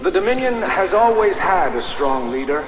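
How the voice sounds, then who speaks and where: A man playing a resolute young emperor in a video game, delivering a formal, deliberate public address. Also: high voice, big pitch swings, over music. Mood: solemn, resolute. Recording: echoey large hall, long reverb.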